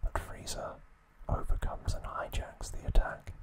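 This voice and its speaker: A man whispering an ASMR recap narration in short, hushed, unhurried phrases.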